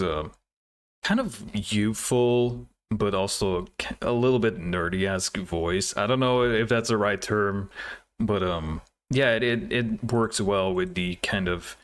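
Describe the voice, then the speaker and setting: Low-voiced man doing casual reactions. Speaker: reaction video host. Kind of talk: casual live reaction commentary. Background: music from the game trailers.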